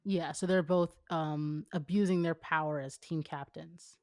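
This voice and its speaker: A woman's voice: deep voice, steady tone, a little slow, darkish tone, no room sound, studio quality. A woman co-hosting a casual fan fiction read-aloud, joking and breaking off into chatty, informal commentary. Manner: informal, joking, goes off on tangents.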